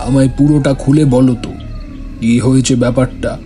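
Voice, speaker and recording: A man's voice: mid-range voice, little pitch movement, moderate pace, mellow, a little hiss or hum, lightly acted. A man playing a stern, authoritative tantric holy man who admonishes formally in a horror audio drama. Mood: urgent.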